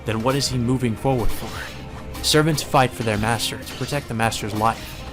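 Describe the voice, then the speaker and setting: A man's voice: medium pitch, moderate pace. A man reading a visual novel aloud as solemn, dramatic scripted narration. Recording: music behind the voice.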